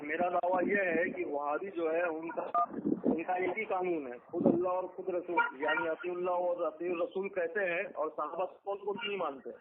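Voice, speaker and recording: High-voiced man arguing on a phone line. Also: animated, moderate pace, very noisy. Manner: challenging, questioning, interrupting. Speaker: debater. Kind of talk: argumentative phone debate. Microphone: telephone.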